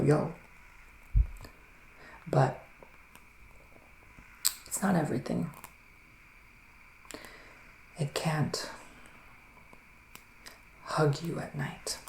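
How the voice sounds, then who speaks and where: A man playing a gentle psychic witch in an ASMR roleplay, speaking in a soft, musing whisper with long pauses. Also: fairly high pitch, level tone, creaky, echoey room, rough recording. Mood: hushed, musing. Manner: slow, hushed, musing, with drawn-out pauses. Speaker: ASMR performer giving a psychic reading.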